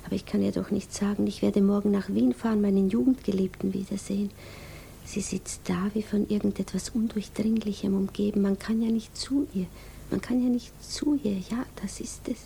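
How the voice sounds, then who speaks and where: An actress playing an anxious, yearning young mother who teaches piano, often in hushed inward monologue, in a 1960s German radio drama. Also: medium pitch, steady tone, fairly quick, gravelly, a little hiss or hum. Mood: shy, wistful.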